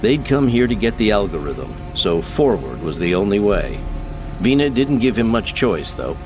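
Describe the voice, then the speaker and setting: A man's voice: on the low side, sing-song, normal speed, music in the background. A man giving scripted, dramatic narration of science fiction as an audiobook narrator.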